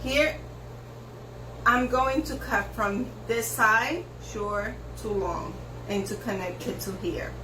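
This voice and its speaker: A woman hairstylist whose first language is Spanish, giving slow step-by-step instructions for a haircut, with lots of repetition. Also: a little high, background noise. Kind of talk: haircut tutorial narration. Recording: echoey room with faint ambience.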